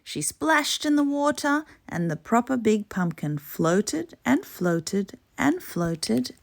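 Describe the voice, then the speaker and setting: A woman's voice: on the high side, very animated, takes it slowly, vocal fry. A woman reading a children's storybook expressively. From Torres Strait, Australia. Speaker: storybook reader.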